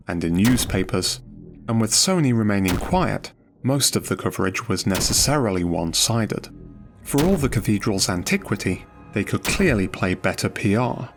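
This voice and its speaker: A man reading scripted documentary narration over music. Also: fairly low pitch.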